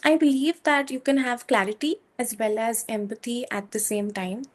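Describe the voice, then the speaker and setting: Young woman, soft voice, slightly high pitch, hesitant, many fillers, interview guest. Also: steady tone, moderate pace. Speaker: guest, software engineer. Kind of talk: interview answers.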